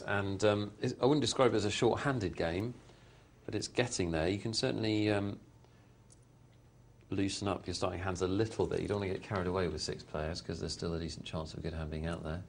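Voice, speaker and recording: A man's voice: sits low, fairly quick. A man hosting a live poker show, commenting on hands in a chatty, hesitant way with lots of fillers. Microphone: studio mic.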